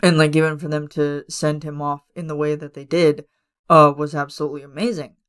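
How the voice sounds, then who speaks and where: A man hosting a podcast from his room at home, hoarse and raspy as he loses his voice, congested and coughing, rambling with lots of fillers and restarts. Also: on the high side, flat delivery, brisk, a bit bright. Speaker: podcast host. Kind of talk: casual solo podcast chat.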